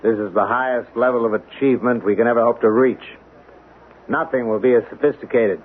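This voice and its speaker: A male actor playing an earnest, protective middle-aged colonel in a 1970s radio drama, speaking in a measured, emphatic way with pointed rhetorical questions. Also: medium pitch, fairly even intonation, slightly rough, close to an everyday voice. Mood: firm, challenging. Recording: tinny, band-limited 1970s radio recording.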